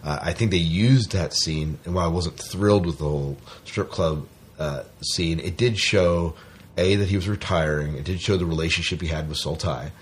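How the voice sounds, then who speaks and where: Guy with a really low voice, just chatting on a podcast. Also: normal speed. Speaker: podcast co-host. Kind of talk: casual chat reviewing a TV series.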